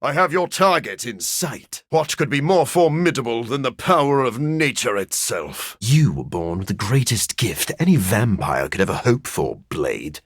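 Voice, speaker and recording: An actor playing a sophisticated, bookish vampire scientist in a video game, speaking in an articulate, formal way with dry wit and a raspy edge. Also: male voice, medium pitch, very animated, moderate pace, few pauses, no echo. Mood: battle-ready. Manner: articulate, formal, dryly witty. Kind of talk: video game character voice lines.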